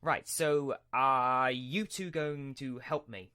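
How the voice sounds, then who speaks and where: A man playing a scheming, imperious Sith lord in a Minecraft roleplay, speaking in halting, deliberate phrases. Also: mid-range voice, steady tone, sharp tone, dry recording, studio quality. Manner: halting, deliberate, with drawn-out pauses. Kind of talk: hobby Minecraft roleplay acting.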